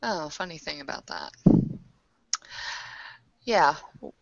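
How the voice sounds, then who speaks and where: A woman playing a tabletop roleplay, casually thinking aloud in a halting way, on dull, lo-fi audio. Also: sits low, huge pitch swings, vocal fry, dry recording. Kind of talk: casual roleplay, half narrating her character.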